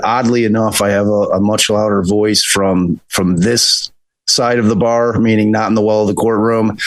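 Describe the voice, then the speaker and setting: Low-voiced man in his fifties, dry sound. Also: dry recording, poor audio. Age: 50s. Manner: relaxed, chatty, repeats words when starting a thought. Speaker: criminal defense attorney, podcast co-host. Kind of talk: casual interview answers.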